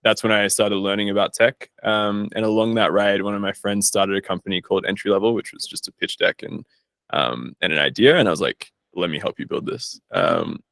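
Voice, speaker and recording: Low, creaky-voiced man. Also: brisk, a bit bright, no room sound, clean studio sound. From Australia. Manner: informal, self-deprecating. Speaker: guest, startup founder and investor. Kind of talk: casual interview chat. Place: studio.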